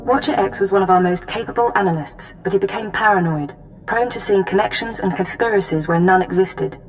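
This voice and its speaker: An actress playing a cool, efficient imperial intelligence officer in a video game, giving crisp, formal briefings. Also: female voice, mid-range voice, brisk, soft background music, close to an everyday voice, low-quality recording. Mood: brisk, businesslike.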